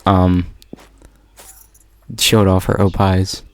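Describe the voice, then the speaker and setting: Low-voiced young man, very dry sound. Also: completely flat delivery, extremely slow, no room sound. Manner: joking, sweary. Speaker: podcast co-host. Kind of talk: casual group chat.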